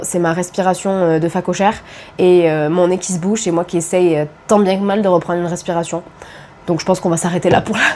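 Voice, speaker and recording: A woman's voice: lowish voice, dark tone, slight background noise. A young woman with a cold, chatting casually to camera about her reading, with self-deprecating asides. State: congested with a cold. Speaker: book vlogger. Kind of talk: casual vlog chat.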